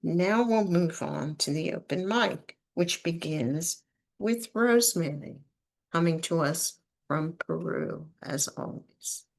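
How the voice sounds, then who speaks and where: A host of an online poetry reading speaks over a video call and keeps the introductions brief and gracious. The voice is gravelly and mid-pitched. The pace is unhurried.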